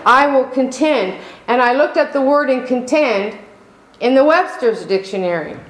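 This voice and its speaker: A middle-aged woman pastor delivering a sermon in slow, emphatic preaching style, calling out for amens. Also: high voice. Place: church sanctuary. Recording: echoey room.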